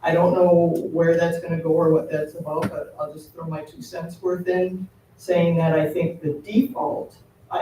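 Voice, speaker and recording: A man's voice: a little high, mellow, a little hiss or hum. A man giving public comment at a council meeting, plain-spoken and hesitant, with many uhs. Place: city council chamber. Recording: echoey council chamber, distant mic.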